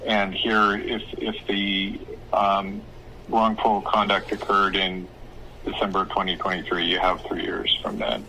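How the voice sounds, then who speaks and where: Low voiced guy lawyer explaining stuff on a phone call, kinda hesitant, sharp bright tone, bit of noise. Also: level tone, measured pace. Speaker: attorney giving legal advice. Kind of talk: phone consultation, casual advice.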